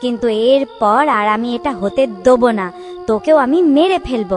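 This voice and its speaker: A woman's voice: a little high, normal speed, faint music behind, lightly acted. A woman voicing the jealous, menacing ghost of a little girl in a cartoon ghost story, scolding and threatening. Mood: menacing, bitter.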